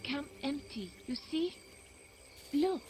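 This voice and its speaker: Wary jungle guide for action game: high pitch, unhurried, terse broken English. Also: female voice, expressive, a little mellow. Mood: wary, insistent. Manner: clipped sentences, dropped words.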